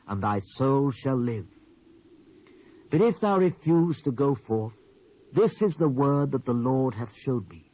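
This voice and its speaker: A man's voice: medium pitch, warm tone. Dull old tape, british guy as a serious prophet giving warnings, insistent, preachy oratory, bible drama. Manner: emphatic, oratorical. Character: grave, insistent male prophet warning a king. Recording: dull lo-fi old cassette transfer.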